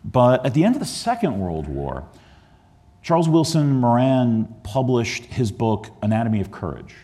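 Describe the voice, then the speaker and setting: A man's voice: medium pitch, big pitch swings, normal speed, warm tone. A man from the Chicago area, a historian, giving a lecture on his book at a museum. He speaks earnestly, with frequent ums and restarts. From Chicago area, USA.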